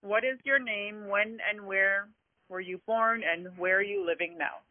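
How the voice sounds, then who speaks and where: A woman's voice: mid-range voice, steady tone, unhurried, dry recording. A woman interviewing someone by phone, friendly and informal with a lot of fillers. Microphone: telephone. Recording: tinny phone line.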